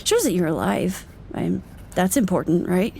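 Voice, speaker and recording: A woman's voice: lowish voice, big pitch swings, moderate pace, gravelly, warm tone, a little hiss or hum. A woman acting in an audio drama as someone whimsically muttering to herself in a casual, rambling way. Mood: musing, playful.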